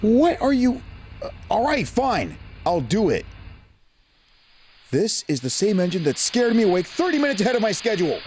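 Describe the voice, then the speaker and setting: A man's voice: high voice, big pitch swings, moderate pace, pauses often, a little mellow, slightly rough, heavily acted. A man voicing a grumpy, impatient railway engine in an audio drama, exasperated and complaining. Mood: irritated, hurried.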